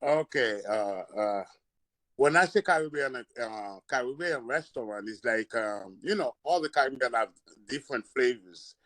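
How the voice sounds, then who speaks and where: A man's voice: medium pitch, expressive, measured pace, fairly bright tone, dry recording. Hesitant man. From Haiti. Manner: hesitant, searches for words. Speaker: restaurant and bar owner. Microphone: phone or video call.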